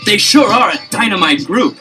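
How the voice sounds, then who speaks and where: An actor playing an eager young amateur detective in a 1970s record-album drama, quick to get suspicious. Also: male voice, sits high, expressive, over music.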